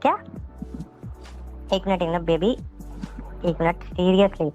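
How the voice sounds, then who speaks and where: A man acting a flustered, hungover young boyfriend in a phone-call drama, questioning repetitively, his voice pitched up and sped up. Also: steady tone, slow pace, a little mellow. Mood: disbelieving. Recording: muffled lo-fi call audio. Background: music.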